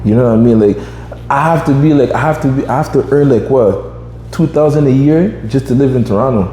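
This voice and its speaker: A man's voice: mid-range voice, fairly quick, dark tone, reverberant, in a large hall, a little hiss or hum, rough recording. A man in his twenties hosting a podcast, chatting casually and rambling with lots of fillers. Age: 20s. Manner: rambling, many fillers like "you know what I mean". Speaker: podcast host.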